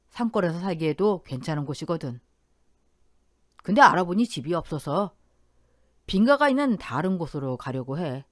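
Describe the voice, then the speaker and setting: A woman's voice: low voice, no echo, studio quality. A woman voicing a remorseful, resigned old widowed father in radio story dialogue. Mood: earnest.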